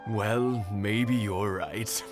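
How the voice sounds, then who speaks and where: An actor playing a kindly elderly husband in a video game, nostalgic and gently teasing, speaking in a measured, reflective way with thoughtful hesitations. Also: male voice, fairly low pitch, steady tone, over music. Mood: fond, nostalgic.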